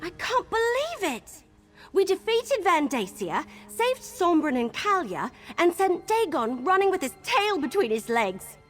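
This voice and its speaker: Eager, very animated adventurer. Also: female voice, very high voice, measured pace, faint music behind, exaggerated voice. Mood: upbeat, relieved. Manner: chatty, enthusiastic. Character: upbeat, eager female adventuring companion. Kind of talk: scripted fantasy game dialogue.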